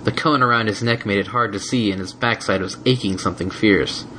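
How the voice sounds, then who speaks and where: A man's voice: lowish voice, fairly quick, bright, forceful tone, rough recording. A man reading a scripted story aloud as an audiobook narrator, with faint noise on the recording. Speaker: audiobook reader.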